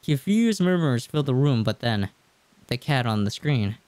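A man's voice: mid-range voice, big pitch swings, unhurried, darkish tone, no room sound. A man in his twenties reading a game's text aloud at a close desk mic, with casual reactions. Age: 20s. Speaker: gaming YouTuber. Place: small indoor room at home. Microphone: desk microphone close up. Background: game music.

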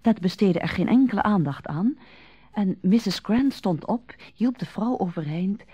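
A woman narrating a radio drama in a measured, literary storytelling manner. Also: mid-range voice, expressive, mellow, breathy edge, no echo.

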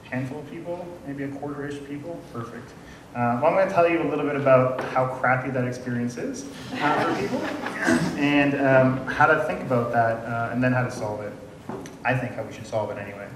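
A man's voice: medium pitch, fairly quick. Chatty man speaking in an echoey hall. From Canada. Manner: friendly, chatty, many ums and uhs, asks the audience questions. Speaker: conference speaker. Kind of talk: casual conference talk. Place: large dim conference room. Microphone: room mic from far off. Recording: echoey room audio from far off, noisy.

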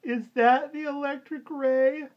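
A man's voice: on the high side, animated, brisk, muffled recording, pitched above the performer's own voice. A man voicing a small, worried, wide-eyed boy in a children's storybook reading. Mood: alarmed wondering.

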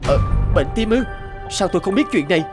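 A man's voice: high voice, animated, brisk, music in the background. A man voicing an anxious, remorseful grown son in a cartoon, with a worried, pleading manner. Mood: shocked.

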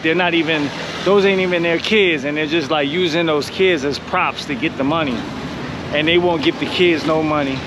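High-pitched American man vlogging on a noisy street. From United States. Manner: slangy street talk, repeats phrases. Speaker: vlogger and host. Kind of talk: casual street vlog talk. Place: outdoors walking along a city street. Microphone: handheld vlog camera at arm's length. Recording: noisy outdoor street with traffic.

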